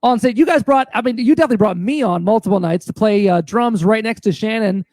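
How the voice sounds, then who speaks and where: A man's voice: high-pitched, fast talker, darkish tone, dry recording. A man hosting a casual podcast, talking with gushing enthusiasm as a fellow musician. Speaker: podcast host and touring musician. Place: remote online call from own location.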